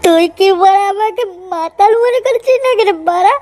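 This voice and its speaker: Man as a squeaky boastful cartoon drunkard. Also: moderate pace, darkish tone, soft background music. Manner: coarse, bragging, swearing. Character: boastful, lecherous, drunken male cartoon friend. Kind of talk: amateur comedy cartoon dub.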